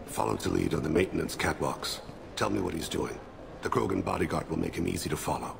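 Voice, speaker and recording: A solemn alien assassin for a video game, with an extremely low, crackling rasp. He speaks in measured, hushed, terse phrases, devout and grave, under loud background noise. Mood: focused.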